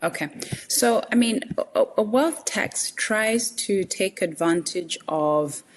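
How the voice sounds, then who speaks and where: A woman's voice: mid-range voice, takes it slowly, poor audio. A woman from Zimbabwe, a native Shona speaker, answering interview questions in a thoughtful, explanatory way with frequent fillers. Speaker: guest, tax justice executive director.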